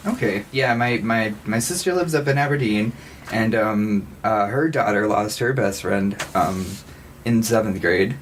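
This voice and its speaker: A man with an American accent, a podcast co-host asking questions in a tentative, halting, casual way. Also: on the low side, slight background noise. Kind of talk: casual chat.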